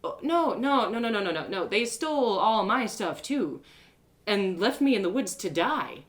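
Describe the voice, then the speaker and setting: A woman's voice: medium pitch, very animated, moderate pace, close to an everyday voice. A woman voicing an exhausted, indignant young woman adventurer in a story, stammering and pleading. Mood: nervous, protesting.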